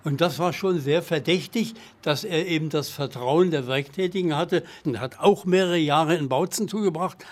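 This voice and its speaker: Fairly high-voiced man in his eighties. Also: moderate pace, few pauses. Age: 80s. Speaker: eyewitness. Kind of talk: recollection in an interview.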